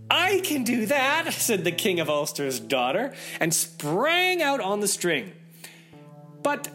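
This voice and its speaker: Animated, slow-paced man telling fairy tales. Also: on the high side. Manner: dramatic pauses, playful asides. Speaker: story reader. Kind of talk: animated fairy-tale storytelling for children. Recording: music behind the voice.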